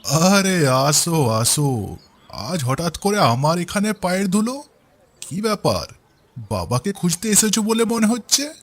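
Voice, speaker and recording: A man playing a paternal, righteous village landlord in a cartoon, expressive and admonishing. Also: high voice, unhurried, full character voice. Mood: welcoming. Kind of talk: cartoon voice acting.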